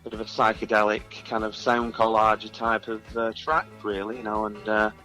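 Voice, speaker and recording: A man's voice: lowish voice, little pitch movement, moderate pace, bright, forceful tone, soft background music, poor audio. A man speaking casually as a musician guest in an interview, self-deprecating and rambling a little hesitantly.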